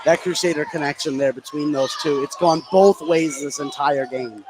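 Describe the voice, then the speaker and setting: A man's voice: fairly high pitch, moderate pace, heavy background noise. A man giving live analysis as the color commentator on a basketball broadcast. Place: indoor basketball gym, courtside.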